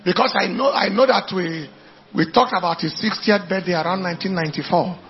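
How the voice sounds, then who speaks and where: A man's voice: sits high, fairly quick, muffled recording. A man with a Nigerian accent preaching and telling anecdotes, driving points home with emphatic repetition. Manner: emphatic repetition, declaiming to congregation. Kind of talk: animated sermon with anecdotes.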